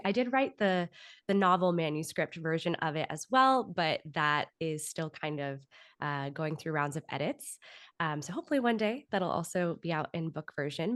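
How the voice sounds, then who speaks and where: Low-pitched woman, close dry sound. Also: a bit bright, no echo. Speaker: podcast guest, writer and audio drama producer. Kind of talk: conversational interview, explaining own work.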